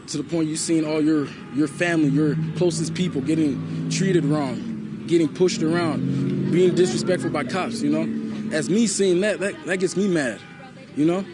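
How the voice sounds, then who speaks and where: A young man from Liberia, interviewed outdoors at a protest, speaking with passion and often repeating himself and asking "you know?". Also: fairly high pitch. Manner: impassioned, repetitive, often asks "you know?". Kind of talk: street interview. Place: outdoors at a night protest near a mall.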